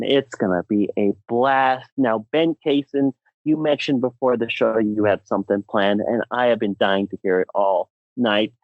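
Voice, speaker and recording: A man's voice: medium pitch, no echo, lo-fi sound. A man hosting a casual podcast, bantering with playful, mock-outraged showmanship. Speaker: podcast host.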